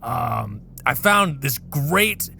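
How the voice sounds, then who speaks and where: A man improvising an eager, earnest job applicant in an audio drama, giving a halting, rambling sales pitch. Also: lively intonation, creaky, pushed and bright, a little hiss or hum, heavily acted.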